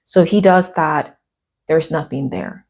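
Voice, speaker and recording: An American woman with a fairly low voice tells a personal story in a chatty way, glancing at her notes as she goes. Her voice still sounds a little worn from a recent cough. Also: slow, creaky, darkish tone. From United States. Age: middle-aged. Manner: chatty, reading from notes, quoting others in asides. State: recovering from a cough and lost voice. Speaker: vlogger. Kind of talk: casual storytelling to camera.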